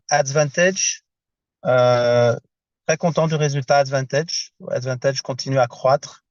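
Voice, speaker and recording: A man talks from a car over a video call, the sound dull and lo-fi with no room echo at all. His voice sits at an ordinary middle pitch. He speaks in a casual, halting way, pausing often to hunt for the right word in a language that is not his first. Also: darkish tone. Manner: halting, searching for words in a second language. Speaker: founder presenting a project. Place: inside a car.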